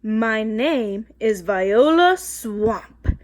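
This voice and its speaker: A woman voicing a stern, bossy, witch-like substitute teacher in a storybook, slow and commanding, with a scratchy voice. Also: a little high, expressive. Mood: menacing, bossy.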